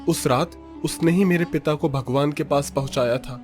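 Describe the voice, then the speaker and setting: A young fisherman, voiced by a man, speaks in a raised, earnest voice full of grief and anger. His pitch sits above his usual range. Faint music sits behind the scene. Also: normal speed, a little mellow, close to an everyday voice. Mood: grieving. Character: young fisherman, grieving and angry.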